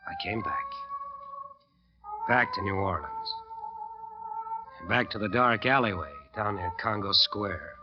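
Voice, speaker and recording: An actor playing a haunted, worn-out bandleader in an old radio drama, speaking with weary, halting intensity. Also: male voice, low voice. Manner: weary, halting, intense, brooding first-person narration. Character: haunted, exhausted bandleader wasting away under a curse. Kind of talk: 1940s-50s radio drama acting. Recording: dull old radio recording with music behind.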